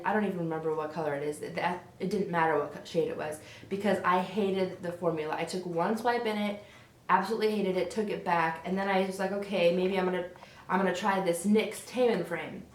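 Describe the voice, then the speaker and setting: A young woman vlogging about beauty products, her voice a little raspy and hoarse as she gets over a sore throat, chatty and frank. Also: on the low side. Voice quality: slightly raspy. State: hoarse, recovering from strep throat. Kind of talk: casual product review to camera. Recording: roomy echo.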